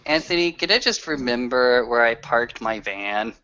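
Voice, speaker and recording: Male gamer, sharp bright tone, mid pitch, casual in-game chat, lo-fi sound. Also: animated, moderate pace, smooth. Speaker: tabletop game player. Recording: dull, lo-fi group session recording.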